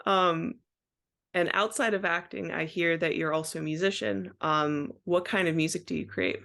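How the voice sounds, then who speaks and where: An interviewer with an Ohio accent and a mid-pitched, gravelly voice. They ask casual questions and react with short friendly remarks. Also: fairly even intonation, moderate pace, bright, forceful tone.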